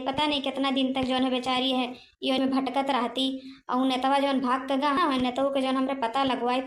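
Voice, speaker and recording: A high-voiced, chatty village woman voiced by a woman with an Awadhi accent. She is an indignant, sympathetic cartoon gossip who talks emphatically while keeping within a narrow pitch range. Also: reverberant, lightly acted. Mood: indignant, determined. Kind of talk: amateur Hindi cartoon dialogue.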